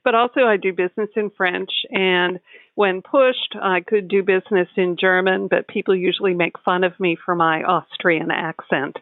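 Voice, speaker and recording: A woman's voice: lowish voice, fairly even intonation, dry recording. Articulate woman on a tinny line. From United States. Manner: measured, articulate explanations. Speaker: tax advisor guest. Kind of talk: podcast interview.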